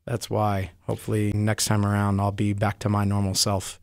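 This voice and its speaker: Slightly hoarse man co-hosting a podcast. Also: lowish voice, monotone, normal speed, no room sound, studio quality. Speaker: podcast co-host. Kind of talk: casual podcast chat.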